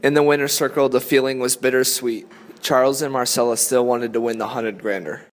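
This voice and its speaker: A man's voice: medium pitch, fairly even intonation, brisk. A man reading scripted story narration as a narrator. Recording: echoey hall reverb.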